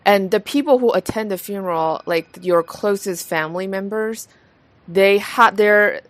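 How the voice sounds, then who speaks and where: A woman's voice: mid-range voice, measured pace, no room sound. A woman from Taiwan hosting a podcast, chatting casually with many fillers and restarts. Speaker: podcast host. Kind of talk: casual conversation.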